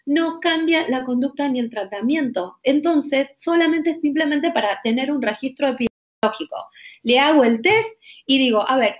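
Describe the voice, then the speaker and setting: A woman with a Rioplatense Spanish accent, a doctor explaining at length in an insistent, emphatic way and often checking that the listener follows. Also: sits high. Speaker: doctor guest. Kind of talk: heated conversational explanation. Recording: lo-fi dull audio.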